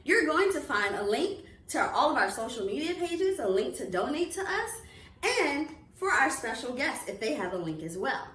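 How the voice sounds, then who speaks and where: Woman host, bright and welcoming, rather high voice, lively pitch, echoey room. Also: moderate pace. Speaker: club president and host. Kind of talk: hosting an online reading series interview.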